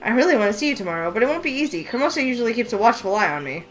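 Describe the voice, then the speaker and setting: A woman's voice: a little high, big pitch swings, racing, fairly bright tone. A woman voicing an eager, curious young heroine in a game read-through, with faint music behind. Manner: eager, wide-eyed questions. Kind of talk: voice acting a visual novel.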